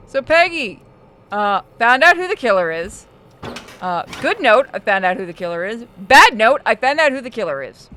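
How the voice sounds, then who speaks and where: A woman's voice: on the high side, big pitch swings, moderate pace, pushed and bright, a little hiss or hum. A woman giving casual, blunt let's-play commentary while she plays a video game. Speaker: gaming commentator and player.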